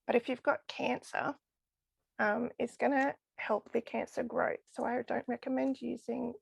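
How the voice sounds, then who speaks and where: A woman's voice: a little high, flat delivery, normal speed, vocal fry, breathy edge, dry recording. A woman losing her voice, talking enthusiastically about crystal healing as a podcast guest. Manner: enthusiastic, chatty with frequent laughs. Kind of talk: casual podcast interview.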